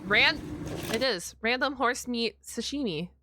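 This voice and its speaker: A woman's voice: a little high, expressive, measured pace, noisy background. A woman streamer chatting casually as she reacts to a video, in an informal, amused, exclamatory way.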